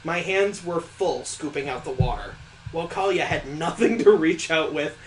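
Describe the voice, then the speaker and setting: A man's voice: fairly high pitch, normal speed, rough recording. A man reading a visual novel aloud as a let's player, breaking in with sarcastic, incredulous asides. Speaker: video game let's player.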